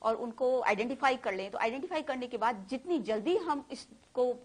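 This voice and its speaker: A woman doctor, a guest in an interview, explaining earnestly and pausing now and then. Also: on the high side, lively intonation. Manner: earnest, explanatory, some hesitation.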